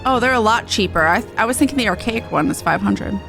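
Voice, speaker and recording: A woman's voice: medium pitch, animated, fast, creaky, soft background music. A woman game streamer chatting casually and reading quest text aloud.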